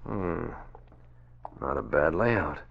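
Masked crimefighter in old radio serial, low voice, measured and sure of himself, dull vintage sound. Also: male voice, lively intonation, creaky, slight background noise, exaggerated voice. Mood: musing. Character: resolute, calculating masked crimefighter, a grown man. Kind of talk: 1940s radio drama acting.

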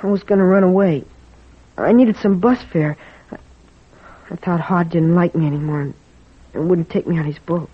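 A woman's voice: on the low side, moderate pace, long pauses, husky. A woman playing an eager, pleading young orphan boy in 1940s radio style, with an earnest, folksy manner. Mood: ashamed. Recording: dull old radio recording.

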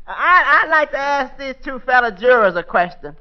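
Cheeky dim juror, extremely high male voice, slangy grammar, old radio comedy, lo-fi recording. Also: animated, moderate pace, pushed and bright, heavily acted. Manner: slangy, ungrammatical wisecracking. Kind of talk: 1940s radio comedy acting.

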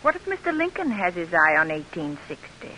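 An actress playing a poised, sensible politician's wife in an old-time radio drama. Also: female voice, lowish voice, big pitch swings, brisk, bright, forceful tone. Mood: concerned, reasoning. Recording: hissy, faintly noisy vintage radio recording.

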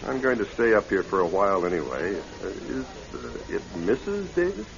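An actor playing a genial, chatty gentleman broker in a classic radio drama. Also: on the low side, sing-song, moderate pace, vocal fry. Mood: genial, interested. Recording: dull old radio, busy crowd behind. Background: crowded dining room chatter.

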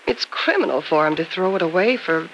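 An actress with an American accent playing a concerned, urgent friend in an old-time radio soap opera, speaking emphatically. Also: female voice, fairly low pitch, expressive, a little fast, rough recording. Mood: urgent, persuasive. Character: concerned, urgent female friend giving firm advice. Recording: old radio broadcast with faint noise.

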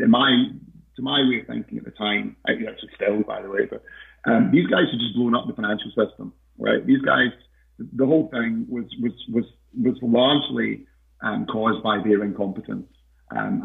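Croaky man on a tinny line. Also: on the low side. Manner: hesitant, many fillers and restarts. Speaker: podcast guest, investment researcher. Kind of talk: casual interview talk.

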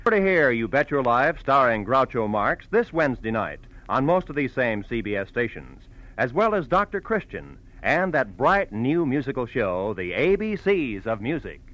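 A man's voice: mid-range voice, big pitch swings, normal speed, a bit bright, poor audio. An American man announcing from a script in emphatic, promotional 1940s radio style.

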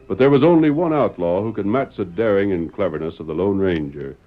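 A man with an American accent narrating an old-time radio western in a grand, declamatory announcer style. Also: on the low side. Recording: dull vintage radio recording.

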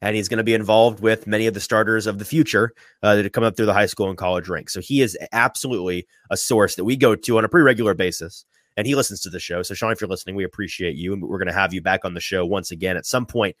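A man hosting a sports talk podcast, sniffly from a sinus infection, animated and often repeating his first words as he starts a thought. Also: lowish voice, fast, dry recording, clean studio sound. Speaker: podcast host.

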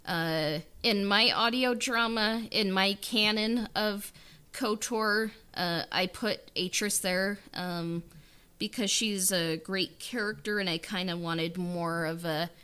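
A woman's voice: medium pitch, slow pace, pushed and bright, clear, resonant tone. A woman in a casual interview chat about creative work, talking hesitantly and rambling with lots of filler words. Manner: hesitant and rambling, leans heavily on "like" and "you know". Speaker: writer and director of a fan audio drama being interviewed. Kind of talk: casual behind-the-scenes chat.

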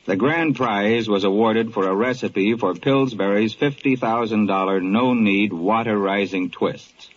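A man's voice: lowish voice, measured pace, few pauses. A man with an American accent reading a sponsor message to listeners in mid-century radio style. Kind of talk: 1940s-50s radio commercial, talking directly to listeners. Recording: muffled, noisy vintage radio recording.

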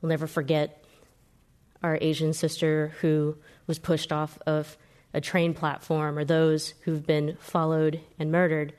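A woman moderating a panel in a solemn, measured way. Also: low-pitched, completely flat delivery, a little mellow, smooth.

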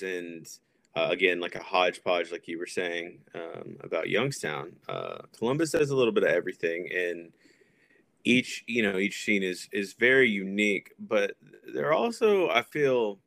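An American man in his thirties from Texas, chatting casually as a podcast guest with a hesitant, pausing delivery. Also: deep voice, a bit bright, no room sound. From Texas, United States. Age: 30s. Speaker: podcast guest, punk music promoter. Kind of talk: casual chat.

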